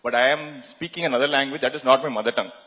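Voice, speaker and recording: A man with an Indian English accent answering a panel question, halting often with fillers and restarts. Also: mid-range voice, fairly even intonation, sharp tone, muffled recording. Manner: hesitant, many fillers and restarts. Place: large conference hall. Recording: reverberant hall.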